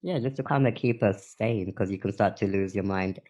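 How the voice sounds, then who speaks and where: A man's voice: on the low side, a little fast, a little mellow. A man hosting an interview podcast, easygoing, often repeating words as he starts a sentence. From Africa. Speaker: podcast host. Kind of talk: casual interview chat.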